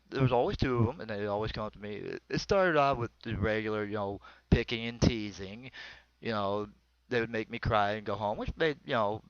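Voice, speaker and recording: A man's voice: on the low side, clear, resonant tone, no room sound. Middle-aged American man, dry lo-fi recording. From small town in northwestern Pennsylvania, United States. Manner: colloquial, rambling, crude-humored. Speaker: comedian telling stories. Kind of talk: comic personal storytelling monologue.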